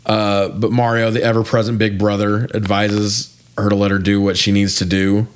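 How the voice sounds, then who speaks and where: Guy with a kinda low voice doing a loose movie podcast recap, sweary and casual. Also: flat delivery, normal speed. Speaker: podcast co-host. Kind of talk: casual film review chat with plot recap.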